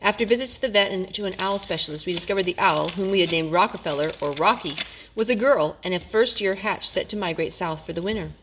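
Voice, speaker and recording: A woman's voice: mid-range voice, quick pace, fairly bright tone, slight background noise, rough recording. A woman reading a children's storybook aloud as a storyteller, with dramatic, emphatic phrasing.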